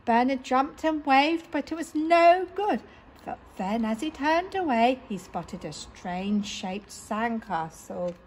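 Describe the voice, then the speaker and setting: A woman reading picture books aloud to children in an animated, dramatic way. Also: sits high, takes it slowly, slight background noise. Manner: animated, dramatic, with exclamations and sound words. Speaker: children's storyteller at a library story time. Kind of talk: expressive picture-book read-aloud.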